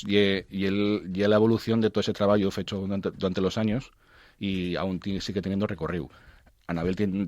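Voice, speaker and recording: A man with an Asturian accent presenting music in informal radio talk, halting and repeating words as he searches for the next one. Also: deep voice, a little mellow, dry recording. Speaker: guest music commentator on a radio show.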